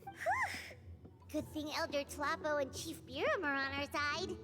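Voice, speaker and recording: Squeaky, very high tiny fairy. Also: female voice, big pitch swings, unhurried. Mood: relieved. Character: tiny childlike floating fairy companion, chirpy and blunt. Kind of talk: video game voice acting. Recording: loud game music under voice.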